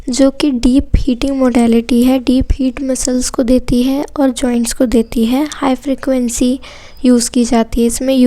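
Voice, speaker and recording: A woman with an Indian accent speaks in a high voice that stays very even in pitch. Her tone is soft and mellow as she teaches, laying things out simply, one point after another, with English technical terms mixed in. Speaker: physiotherapy lecturer. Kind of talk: explanatory lesson.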